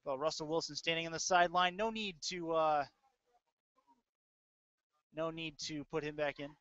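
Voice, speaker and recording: A man's voice: fairly high pitch, moderate pace, fairly bright tone, no echo. A man doing live play-by-play commentary of a football game as a sports announcer. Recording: dull lo-fi web audio stream.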